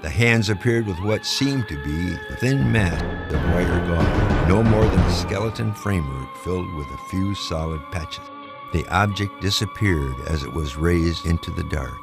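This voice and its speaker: A man reads pulp mystery prose as a dramatic narrator. His voice is deep and moves expressively in pitch. Music plays clearly behind him. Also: animated, normal speed. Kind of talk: dramatic audiobook-style reading of pulp fiction.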